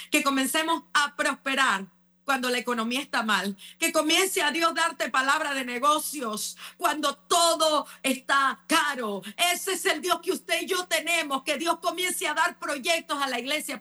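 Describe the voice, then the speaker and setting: A woman preaching with fervor, her voice bright and forceful. She exhorts her listeners and pitches a little above the usual woman's range. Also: unhurried. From Panama. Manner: fervent, exhorting, sometimes shouting. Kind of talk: broadcast sermon.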